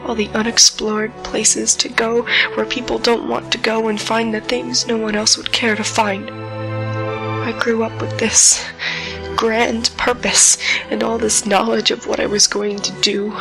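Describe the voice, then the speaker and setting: A woman playing a frightened but defiant starship captain in an audio drama, speaking in a halting, emotional monologue. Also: on the high side, little pitch movement, gravelly. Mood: wistful, afraid. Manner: halting, emotional, addressing an unseen foe. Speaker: voice actor in an audio drama podcast. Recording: music behind the voice.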